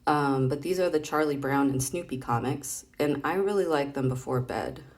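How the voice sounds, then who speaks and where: A woman's voice: rumbling low, moderate pace, darkish tone. A woman casually reading comics aloud, chatty and playful, thinking aloud with some hesitation as she explains things.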